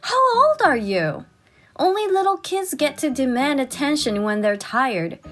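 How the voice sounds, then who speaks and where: Casting: actress as high-voiced housewife, forties, exasperated but caring, expressive storytelling delivery, music underneath. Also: female voice. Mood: exasperated, indignant. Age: 40s. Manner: expressive, indignant in dialogue, measured when narrating. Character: forty-year-old housewife and crafts artist, exasperated but caring. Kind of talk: dramatized first-person story narration and dialogue.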